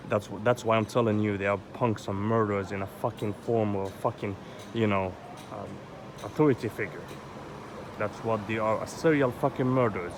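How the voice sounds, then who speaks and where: A man in his thirties from Egypt, ranting in an agitated, rambling way with lots of swearing and filler words. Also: on the low side. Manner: agitated, rambling, swears often, heavy "you know" fillers. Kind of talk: rambling personal vlog monologue. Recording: loud outdoor street traffic noise.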